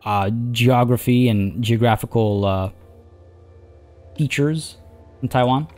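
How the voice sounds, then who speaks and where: A man with a hoarse, tiring voice, chatting casually as he plays a zoo-building game and rambling through his thoughts. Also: mid-range voice, slow pace, a little mellow, faint music behind. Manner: rambling, chatty, many restarts and fillers. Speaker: let's play host. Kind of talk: casual gameplay commentary.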